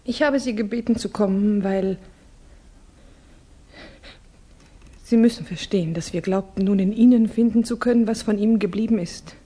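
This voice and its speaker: An actress playing a refined, earnest young Russian woman in grief, speaking haltingly in a 1960s German radio drama. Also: medium pitch, dark tone, breathy edge, lightly acted. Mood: anxious, earnest. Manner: halting, formal, intense.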